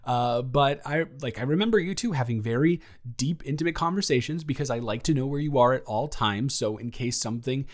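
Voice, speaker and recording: A man playing a flustered, goofy roommate confessing love to a friend, rambling casually and haltingly in an improvised audio roleplay. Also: medium pitch, natural voice. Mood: animated, flustered. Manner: halting, stammering, animated, jokey.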